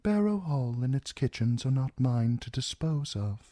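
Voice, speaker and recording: A man voicing a cold, soft-spoken older lord who murmurs everything mildly. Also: medium pitch, animated, moderate pace, dry recording, studio quality, pitched above the performer's own voice, natural voice. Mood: mild, cool.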